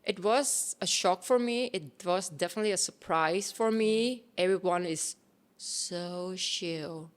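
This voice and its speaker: A woman in her thirties from Thailand speaks as a guest in a casual interview. Her voice sits fairly low and her delivery is slow. She talks in a relaxed way, with fillers, restarts and phrasing.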